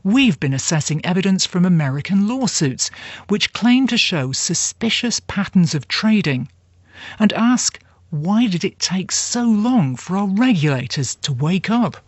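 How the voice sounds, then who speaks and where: A woman's voice: medium pitch, lively intonation, measured pace, breathy edge, dry recording, dull audio. A woman presenting a scripted radio programme trailer.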